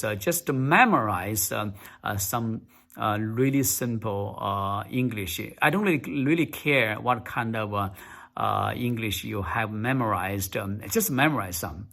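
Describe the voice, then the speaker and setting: A man coaching viewers on speaking English in a casual tutorial, talking haltingly with many fillers and words repeated for emphasis. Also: lowish voice, creaky, a bit bright.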